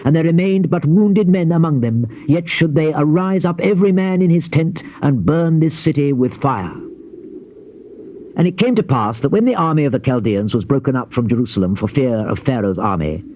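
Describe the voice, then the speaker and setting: An actor playing a grave Old Testament prophet in a dramatized scripture reading, with solemn, declamatory and measured phrasing. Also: male voice, a little high, dark tone. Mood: stern, proclaiming. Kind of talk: dramatized scripture reading, classical stage delivery. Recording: dull lo-fi old cassette, faint hiss.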